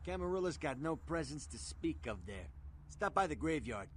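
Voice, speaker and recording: Cocky streetwise gun dealer, highish voice. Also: male voice, very animated, moderate pace, fairly bright tone, slight background noise. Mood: businesslike, cocky. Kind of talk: video game voice acting.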